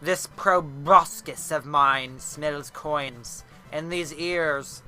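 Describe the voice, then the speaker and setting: A greedy, weaselly cobbler from a storybook, as a reader plays him. He wheedles slyly in folksy phrases, stretching his words slowly with a bright edge. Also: mid-range voice, soft background music. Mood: greedy, gloating. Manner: wheedling, drawn-out folksy talk.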